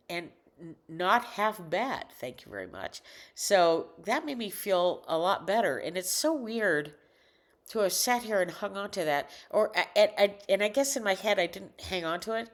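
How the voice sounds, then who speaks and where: An older woman with a low alto voice talking casually into a desk microphone at home, rambling, halting often and correcting herself mid-sentence. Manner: rambling, halting, many restarts and self-corrections. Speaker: vlogger. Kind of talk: casual personal monologue.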